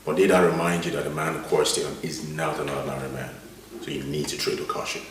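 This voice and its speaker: Firm formal professional: bottomless low voice, measured cautioning, film drama, distant mic. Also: male voice, steady tone, noisy background. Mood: stern, dutiful. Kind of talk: film melodrama acting. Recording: very echoey room, distant, music behind.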